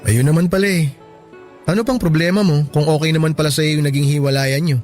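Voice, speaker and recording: A man's voice: fairly high pitch, fairly even intonation, fast, a little mellow, faint music behind, lightly acted. A man with a Filipino accent playing a level-headed young friend who gives earnest, reassuring advice in a Tagalog radio drama. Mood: attentive, easygoing.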